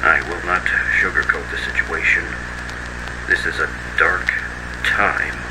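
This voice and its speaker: A man's voice: very deep voice, flat delivery, measured pace. A man playing a grave agency spokesman, narrating a scripted analog horror broadcast in a solemn, formal announcer's manner. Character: grave male spokesman for a monster-response agency. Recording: heavy radio-like background noise.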